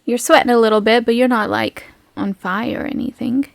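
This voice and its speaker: Woman, game master, slightly high voice, dry close recording, casual improvised table talk. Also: fairly even intonation, fairly quick, creaky, no echo.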